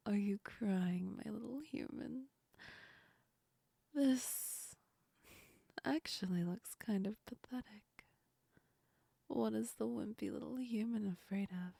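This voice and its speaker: Girl doing a female sleep demon asmr whisper, teasing but sweet, mellow with some creaky edges, right up in your ear. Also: a little high, no echo, full character voice. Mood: teasing, mocking. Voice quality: breathy whisper. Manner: slow, soft, close to the listener's ear. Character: teasing female sleep demon, menacing then apologetic and comforting. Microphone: very close ASMR microphone.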